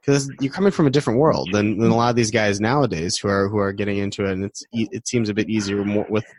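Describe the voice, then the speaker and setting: A man's voice: on the low side, steady tone, quick pace, dry recording. A man hosting a podcast in casual, effusive interview chat, often repeating himself. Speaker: podcast host.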